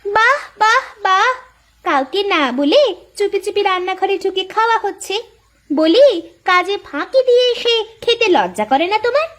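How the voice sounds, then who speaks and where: Woman voicing a shrill-pitched nagging young wife. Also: expressive, normal speed, a bit bright. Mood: scornful. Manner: nagging, sarcastic. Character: sharp-tongued, jealous younger village wife. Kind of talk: moral folk-tale cartoon voice acting.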